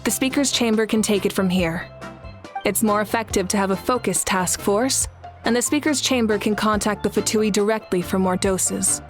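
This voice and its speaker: A composed female leader from a video game, played by an actress. She speaks at a middle pitch in a measured, matter-of-fact manner, logical yet compassionate. Music plays softly behind her. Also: natural voice. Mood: businesslike. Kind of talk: scripted video game voice acting.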